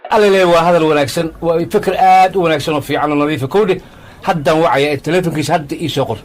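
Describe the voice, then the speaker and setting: A man's voice: on the high side, racing, natural voice. A man with a Somali accent playing a chatty, agreeable grandfather in a radio drama. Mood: pleased. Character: chatty, agreeable older grandfather wanting a haircut.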